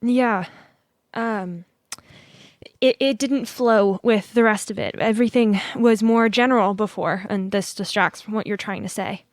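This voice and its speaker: A young woman acting in an audio drama as a politician's sharp-witted grown daughter and exacting editor, dry and matter-of-fact. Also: mid-range voice, unhurried, no echo, close to an everyday voice. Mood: firm, critical.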